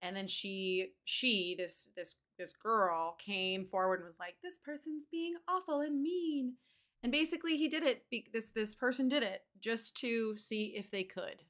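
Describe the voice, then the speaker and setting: Woman, bright forceful tone, casual teacher-style commentary, emphatic, repeats words for stress. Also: on the high side, unhurried. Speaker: teacher commenting on a video for students.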